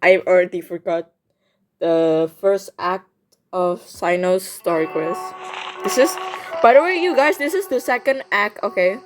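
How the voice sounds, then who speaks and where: A woman giving casual gameplay commentary, excitable and thinking aloud, often repeating herself. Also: mid-range voice, measured pace. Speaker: game streamer. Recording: game music behind the voice.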